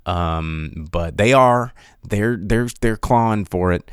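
A man with a low, smooth voice rambles through casual podcast commentary. He is animated and sarcastic, and recorded on a close mic in a dry, dead room. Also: slow pace, no room sound. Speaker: podcast host.

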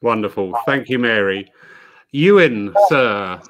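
A man's voice: mid-range voice, expressive, takes it slowly, a bit bright, no echo. A man hosting an online panel on a video call, introducing guests courteously. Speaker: panel host.

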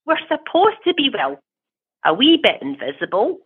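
A woman's voice: on the high side, wildly swooping pitch, moderate pace, husky, exaggerated voice. A Scottish woman playing a fed-up, mischievous ghost in an improvised comedy sketch, blunt and sweary. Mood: disdainful. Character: fed-up, mischievous female Hogwarts ghost, centuries-weary. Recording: thin phone-like sound.

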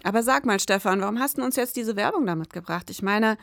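A woman's voice: medium pitch, fast, gravelly, dry recording, studio quality. A woman co-hosting an investigative podcast in scripted dialogue.

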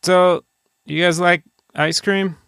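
A man's voice: sits high, steady tone, slow pace, gravelly, a bit bright. A man hosting a casual podcast, bantering in a rambling, dryly joking way. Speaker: podcast host.

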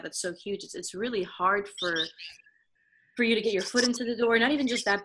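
A woman's voice: fairly low pitch, a bit bright, low-quality recording. A woman hosting a conversational interview in an encouraging, affirming manner. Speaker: interviewer, host.

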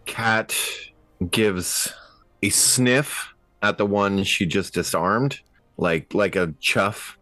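A man's voice: fairly low pitch, extremely slow, pushed and bright, dry recording, faint music behind, poor audio. A man casually describing his moves as a tabletop roleplaying player, in a slangy, informal way. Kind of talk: casual in-game action description.

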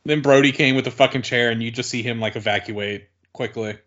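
A man's voice: medium pitch, fairly even intonation, fairly quick, fairly bright tone, muffled recording. A man co-hosting a casual watch-along, rambling with many "likes", swearing and laughing as he reacts. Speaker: podcast co-host.

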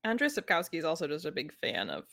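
A woman's voice: sits low, big pitch swings, fast, vocal fry, a bit bright. A woman co-hosting a podcast, casually discussing books in an analytical, explanatory and wry manner. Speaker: podcast co-host.

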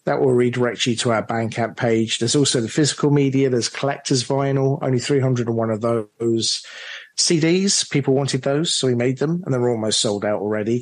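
A man's voice: mid-range voice, no echo. A man being interviewed as a drama director, chatting in an enthusiastic, emphatic way. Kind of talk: animated interview chat.

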